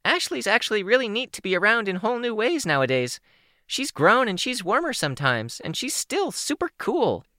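A chirpy, talkative young teen girl spills her words out in quick rushing bursts. Her voice leaps high and moves a lot. The audiobook sound is dry and close. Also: very animated, sharp tone, no room sound. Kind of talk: audiobook character voice.

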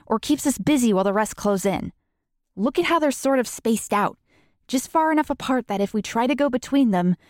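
A woman's voice: fairly high pitch, dry recording, studio quality, natural voice. A woman voicing a clever, self-assured teenage girl who explains things in a matter-of-fact, knowing way for an audiobook. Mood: urgent analysis.

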